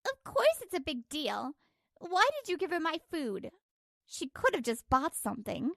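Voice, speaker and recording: A woman's voice: high-pitched, very animated, moderate pace, gravelly, fairly bright tone, dry recording, studio quality, full character voice. A woman voicing an exasperated, aggrieved working wife in a scripted manga dub, narrating and arguing. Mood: exasperated, reproachful.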